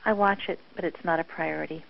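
Friendly low-voiced woman on a voicemail. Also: steady tone, quick pace, vocal fry, dry recording. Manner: bright, friendly, mildly disappointed. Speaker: caller leaving a voicemail. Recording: dull voicemail line.

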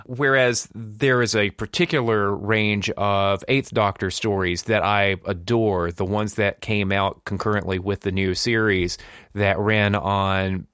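A man hosting a casual panel discussion, speaking in measured, deliberate phrases. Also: on the low side, fairly even intonation, fairly bright tone, smooth, no echo, studio quality. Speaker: podcast host.

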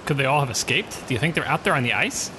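A man's voice: mid-range voice, lively intonation, noisy background, close to an everyday voice. An actor playing a curious, eager male crewman on an undersea rescue team in an audio drama. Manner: quick, curious, questioning.

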